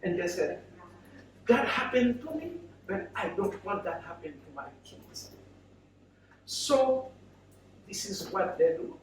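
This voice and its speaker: A middle-aged or older man with a Haitian accent, speaking to an audience in halting, short phrases as a guest speaker. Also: high-pitched, big pitch swings. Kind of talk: personal story told to an audience. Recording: very echoey, distant microphone, faint noise.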